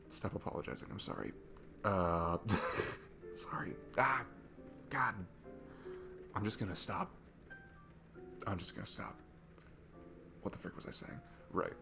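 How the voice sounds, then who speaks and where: A man playing a shy, insecure, sweet guy at a bar in an intimate audio roleplay, talking in a nervous, halting, apologetic ramble. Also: low voice, vocal fry, bright, forceful tone, exaggerated voice. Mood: flustered, apologetic. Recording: music behind the voice. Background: music.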